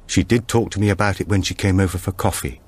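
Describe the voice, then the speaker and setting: A man's voice: sits low, fairly even intonation, fast talker, husky. A man reading an audiobook, voicing an earnest, chatty woman neighbour who talks in a hesitant, confiding way.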